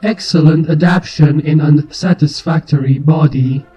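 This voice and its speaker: A man's voice: fairly high pitch, steady tone, normal speed, dark tone, voice pushed down, lightly acted. A man voicing a cold hive analyzer creature in a story reading, formal, clinical and declarative. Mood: clinical, stern. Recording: dull muffled sound, music behind.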